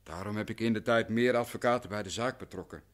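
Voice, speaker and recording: A man's voice: fairly low pitch, fast talker, background noise, close to an everyday voice. A man playing an anxious, deferential client in a radio drama, recounting things at length and meticulously. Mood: earnest, resigned. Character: long-suffering male client, anxious, deferential, talkative. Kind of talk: radio drama acting in Dutch.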